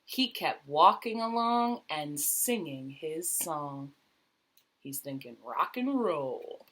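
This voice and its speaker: A woman reading a children's picture book aloud expressively, stretching key words and dropping into quieter asides with questions for young listeners. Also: sits low, very animated, takes it slowly, slight background noise.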